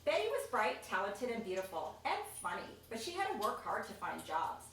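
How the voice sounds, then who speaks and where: A woman reading a children's picture book aloud, expressive and chatty, with casual asides about the pictures. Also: medium pitch, a little fast. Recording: echoey room, distant mic, faint noise.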